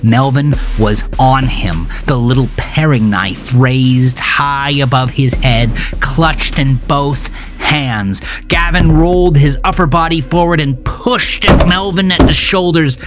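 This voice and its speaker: A man narrating a scripted horror story in a dramatic, deliberate and intense manner. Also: mid-range voice. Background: music.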